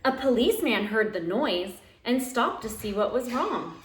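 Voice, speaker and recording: A woman reading a children's picture book aloud at home in an expressive, dramatic way, drawing out key phrases. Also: fairly high pitch, normal speed. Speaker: teacher reading aloud to young pupils. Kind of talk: animated children's storybook read-aloud. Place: living room at home. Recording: roomy, echoey home recording.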